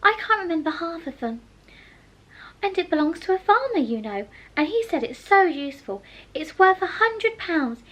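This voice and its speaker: A woman's voice: extremely high pitch, lively intonation, normal speed, fairly bright tone, voice pushed up. A woman voicing a polite, curious young girl in a storybook reading, chattering on eagerly.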